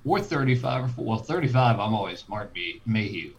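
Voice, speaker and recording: Man, podcast co-host, jokey rambling sports chat, lively pitch. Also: a little fast, muffled recording. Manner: rambling, self-correcting, jokey.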